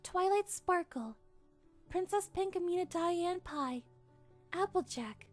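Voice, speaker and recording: Woman as a very high-voiced gentle pony queen. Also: animated, takes it slowly, faint music behind, exaggerated voice. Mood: solemn gratitude. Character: gentle, kind, humble young pony queen. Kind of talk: animated fan drama dialogue.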